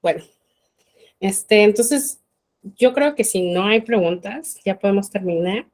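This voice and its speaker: A woman's voice: mid-range voice, expressive, measured pace, a little mellow. A woman giving an informal university law talk, conversational and full of fillers. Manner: conversational, many fillers like "este". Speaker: law lecturer.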